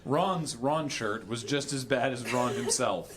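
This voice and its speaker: Guy reading a silly story out loud all dramatic, close to cracking up. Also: mid-range voice, unhurried, very noisy. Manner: theatrical, often breaking into laughter. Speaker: reader on a charity stream.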